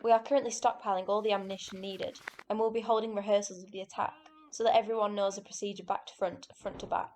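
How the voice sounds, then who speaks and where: A woman's voice: medium pitch, fairly even intonation, fast talker, hardly any pauses, a little mellow, a little hiss or hum, lightly acted. A woman acting a military strategist in a wartime radio drama, giving an authoritative, instructional briefing. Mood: businesslike. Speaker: actor in a student radio drama.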